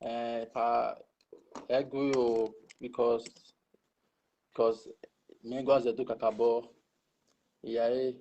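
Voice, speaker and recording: A man with a Nigerian accent joining a live stream by video call to ask a question, halting and full of fillers. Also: mid-range voice, level tone, dark tone, lo-fi sound.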